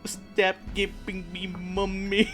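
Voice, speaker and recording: A man hosting a live tabletop game stream, cursing loudly and excitedly as he chats with players. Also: high-pitched, slow, music in the background. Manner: loud, swearing, excitable. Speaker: game master and stream host. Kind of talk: casual banter on a live stream.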